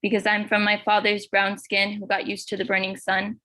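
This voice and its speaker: Teen girl reciting poetry, bright voice. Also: mid-range voice, completely flat delivery, no room sound. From Los Angeles. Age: teens. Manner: earnest, measured recitation. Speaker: youth poet. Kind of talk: spoken word poetry reading.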